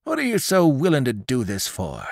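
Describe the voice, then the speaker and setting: A man's voice: mid-range voice, wildly swooping pitch, moderate pace, vocal fry, darkish tone, dry recording, studio quality, voice pushed up, full character voice. A man voicing a suspicious, miserly old male storekeeper who asks doubtful, querulous questions.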